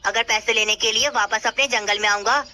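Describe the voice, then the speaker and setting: A woman's voice: a little high, steady tone, brisk, sharp tone, voice pushed down. A woman voicing a falsely friendly male pigeon in a children's cartoon. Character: kite slyly imitating a friendly male pigeon. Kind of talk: Hindi children's cartoon dialogue. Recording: birdsong noise behind.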